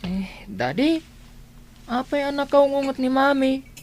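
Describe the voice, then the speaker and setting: Plaintive young child. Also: female voice, high voice, unhurried, a little mellow. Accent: Ilocano. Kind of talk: Filipino radio drama acting.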